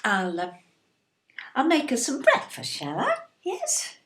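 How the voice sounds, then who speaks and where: A woman's voice: high voice, huge pitch swings, slow pace, gravelly, fairly bright tone, exaggerated voice. An actress playing an anxious, tender, emotionally fragile English mother, hesitant and pleading, in an audio play.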